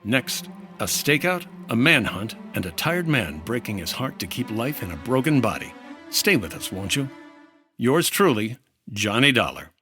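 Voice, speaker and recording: An actor playing a cool, world-weary hard-boiled insurance investigator in a 1950s-style radio detective drama. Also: male voice, medium pitch, very animated, moderate pace, over loud music, natural voice. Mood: dramatic narration.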